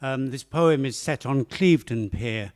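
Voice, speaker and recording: A Welsh man reads his own poetry at a cafe open mic. He speaks slowly and deliberately, with a mid-range voice and hesitant pauses. His delivery is measured and unhurried. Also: medium pitch, expressive, a little mellow. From Wales. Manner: slow, measured, with hesitant fillers.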